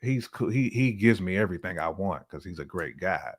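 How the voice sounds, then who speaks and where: A man's voice: low-pitched, lively intonation, fairly quick. A man chatting casually and slangily, often acting out imagined quotes as he gives relationship opinions. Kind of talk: casual conversational chat.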